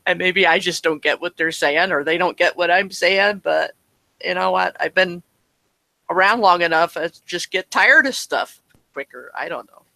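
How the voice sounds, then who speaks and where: A man in his late fifties leading an online Bible study, talking in a relaxed, rambling way. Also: high voice, moderate pace, vocal fry, dry recording, poor audio. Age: 50s. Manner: relaxed, rambling, chuckles often. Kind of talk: casual online group chat.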